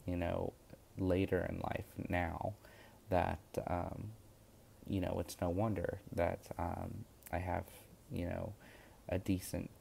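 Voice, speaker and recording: A young man with a very low, gravelly voice, talking in a loose, halting way as he works through his course notes. His tone stays flat and mellow, with frequent pauses and restarts, over a faint hiss of background noise. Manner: rambling, hesitant, many fillers and restarts. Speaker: witchcraft course student vlogger. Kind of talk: casual spoken vlog.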